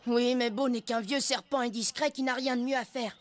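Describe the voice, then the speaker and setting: A woman's voice: fairly high pitch, steady tone, a little fast, natural voice. A woman voicing a proud, stubborn young jungle boy in a dubbed cartoon, earnest and defensive, over music. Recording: loud music under the voice.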